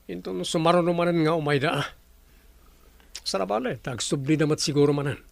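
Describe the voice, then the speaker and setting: A man's voice: on the high side, brisk, vocal fry, no room sound. A man with an Ilocano accent playing a courteous, smitten resort owner in a comedy radio drama, speaking politely and welcomingly. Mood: smitten, musing. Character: courteous, smitten gentleman who owns a resort, Vince. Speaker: voice actor.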